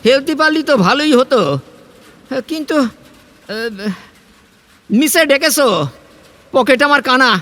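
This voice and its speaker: An actor playing a penniless, wary, proud drifter in a Bengali audio play, speaking in a hesitant, defensive stammer. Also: male voice, extremely high pitch, a little slow, long pauses, bright, forceful tone, heavily acted. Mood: hesitant, cold. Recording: faint background score behind the voice. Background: faint music.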